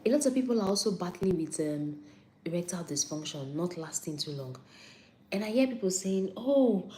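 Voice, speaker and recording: Low-voiced Nigerian woman chatting. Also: expressive, moderate pace, dark tone, a little hiss or hum. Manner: chatty, repeats points for emphasis, answers viewer comments. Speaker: health talk host on a live stream. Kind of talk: casual live-stream health talk.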